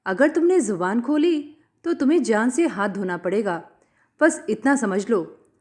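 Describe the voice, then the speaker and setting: A woman's voice: fairly high pitch, animated, a little slow, a little mellow. A woman voicing a stern, menacing male cleric in a story, preachy and commanding. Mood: threatening. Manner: commanding, self-justifying, preachy.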